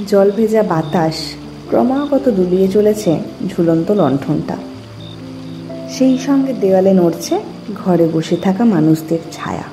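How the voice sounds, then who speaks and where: A woman narrating a scripted literary story in an expressive, measured storytelling manner. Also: medium pitch. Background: music.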